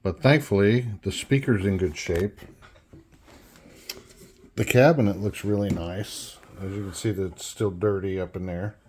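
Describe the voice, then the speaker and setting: A man giving an unscripted workbench walkthrough as a hobbyist restorer, halting and thinking aloud as he goes. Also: deep voice, darkish tone, a little hiss or hum. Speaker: hobbyist restorer presenting a repair.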